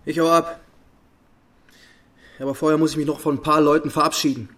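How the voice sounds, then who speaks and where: A man's voice: a little high, level tone, brisk, a bit bright, pitched above the performer's own voice, close to an everyday voice. A man voicing a sullen, foul-mouthed young man in an audiobook, surly and slangy. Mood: sullen, fed up.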